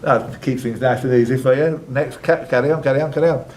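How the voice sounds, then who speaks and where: A man's voice: medium pitch, fairly even intonation, quick pace, a little mellow, slight background noise. A man hosting a live quiz, reading out questions and tossing in chatty, off-the-cuff asides. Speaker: quizmaster. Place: live quiz event at a social club.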